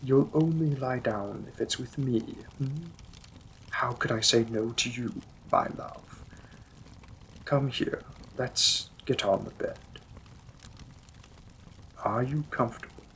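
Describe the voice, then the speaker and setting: A man performs as a demon king in a romantic fantasy audio drama, possessive and devoted. His voice is bright and edged with gravel, often sinking into breathy whispers. He speaks slowly, savoring each phrase, with some background noise behind him. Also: medium pitch, poor audio. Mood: tender, concerned. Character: menacing, possessive demon king, secretly a tender lover.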